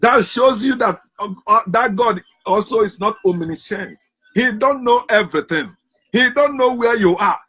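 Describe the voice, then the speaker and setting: Husky high-voiced man preaching. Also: lively intonation, moderate pace. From Africa. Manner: forceful, emphatic, repeats rhetorical questions. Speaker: commentator on religion. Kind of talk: impassioned preaching monologue.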